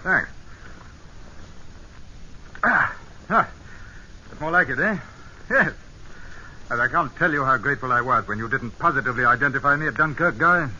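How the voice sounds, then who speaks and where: An urbane British secret agent, persuasive as an old friend, with a very bright, crisp edge to the voice. It comes from a vintage radio play, muffled with faint hiss. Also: male voice, mid-range voice, animated, normal speed, long pauses. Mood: suave, casual. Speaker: actor. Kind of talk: old-time radio drama acting.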